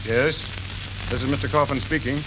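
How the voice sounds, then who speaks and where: A man playing a courteous, flattering and slyly dishonest car salesman in 1930s radio comedy style. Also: medium pitch, normal speed, natural voice. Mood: businesslike. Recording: crackly, hissy 1930s radio recording.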